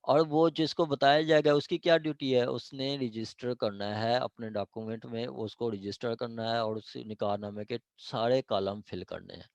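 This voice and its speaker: A man's voice: mid-range voice, fairly even intonation, moderate pace, gravelly, hardly any pauses, no echo, lo-fi sound. A man with a Pakistani accent lecturing informally, explaining point by point with frequent check-in questions and repeated phrases. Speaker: law lecturer. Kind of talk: informal word-by-word lecture, mixing in English terms.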